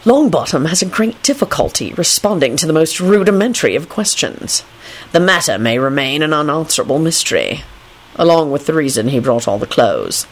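An urbane storybook man, voiced by a woman, agreeable yet theatrical. He speaks in a measured, slightly lowered, pompous voice. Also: big pitch swings, slight background noise. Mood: mock dramatic.

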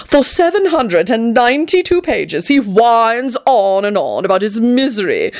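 Lady doing a super theatrical gossipy guy, shrill and high, swoops everywhere, gushy as anything. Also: lively intonation, a little slow. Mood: haughty gossip. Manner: effusive, melodramatic, swooning endearments. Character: flamboyant, gushing, theatrical man who gossips.

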